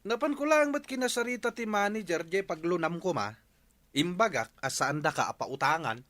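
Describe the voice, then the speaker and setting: Man acting an animated, exasperated Ilocano husband. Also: high voice, moderate pace, no room sound. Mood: firm. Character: exasperated, thrifty village husband scolding his wife. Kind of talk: comedy radio drama acting.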